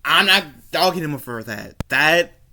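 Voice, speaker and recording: A man giving casual opinion commentary as a video host, halting and often repeating and rephrasing himself. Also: a little high, lively intonation, pushed and bright. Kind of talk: casual opinion commentary, reading an article aloud.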